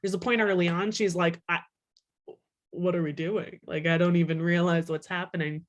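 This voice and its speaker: A woman's voice: lowish voice, moderate pace. A woman author being interviewed, chatting casually with lots of "like" and acting out little quotes as she explains. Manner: chatty, animated self-quoting, peppered with "like".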